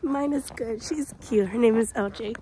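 A young woman with a high, bright-sounding voice chats breathlessly into her phone as she films herself. She is outdoors at a farm, and the recording carries a lot of background noise. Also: moderate pace, low-quality recording. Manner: excitable, gushing, talks to animals. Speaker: vlogger. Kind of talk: casual vlog chat to camera. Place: outdoors at a farm petting zoo. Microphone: phone at arm's length.

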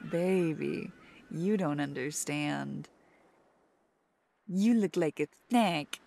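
Slow, soft-spoken playful young woman. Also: fairly low pitch, lively intonation, gravelly, a little hiss or hum. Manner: soft, playful and teasing, with frequent laughs. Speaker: ASMR roleplay creator. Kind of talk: ASMR girlfriend roleplay.

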